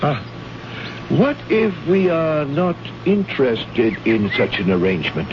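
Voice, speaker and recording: An actor playing a courteous, guarded alien native in an old radio drama, speaking in formal, precise phrases without contractions. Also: male voice, medium pitch, sing-song, unhurried. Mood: guarded, wary. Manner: formal, precise, uncontracted speech. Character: courteous, guarded male alien native, innocent yet shrewd. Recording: noisy, muffled old radio recording.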